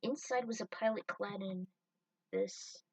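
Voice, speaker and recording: A woman's voice: mid-range voice, unhurried, no room sound, recorded from a distance, poor audio. A woman reading scripted story narration, action scenes told in prose. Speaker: narrator and reader of a fan fiction story.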